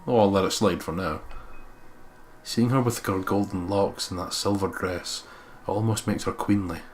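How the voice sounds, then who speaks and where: A man's voice: low voice, steady tone, normal speed, a little hiss or hum. A man hosting a let's play, reading visual novel narration aloud with some casual commentary.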